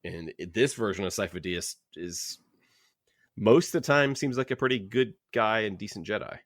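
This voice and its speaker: A man's voice: on the low side, lively intonation, normal speed, no echo, studio quality. A man co-hosting a casual book discussion podcast, rambling and restarting his sentences as he thinks aloud. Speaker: podcast host.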